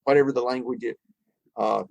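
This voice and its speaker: Guy musing out loud on a podcast, lots of restarts, mid pitch, dry mic. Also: steady tone, fairly quick, creaky, dry recording, low-quality recording. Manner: reflective, thinks aloud with frequent restarts. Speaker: podcast co-host. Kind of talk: casual conversation on recovery and spirituality.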